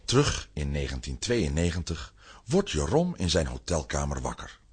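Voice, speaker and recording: A man's voice: very deep voice, sing-song, normal speed, breathy edge. A man narrating a children's audio play in a scripted storytelling style.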